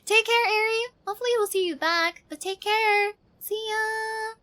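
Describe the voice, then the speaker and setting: A woman's voice: very high voice, steady tone, moderate pace, pushed and bright. A young woman from Ireland chatting casually as she streams a game, informal and slangy, often self-deprecating. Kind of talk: casual live stream chat. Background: game music.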